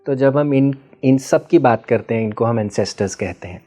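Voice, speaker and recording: A man's voice: medium pitch, normal speed, dark tone, faint music behind. A man as the interview guest, patiently explaining ideas and often checking in with the listener, mixing in English terms. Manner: patient teacherly explaining with frequent checking questions; mixes Hindi with English terms. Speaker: guest expert on ancestral healing.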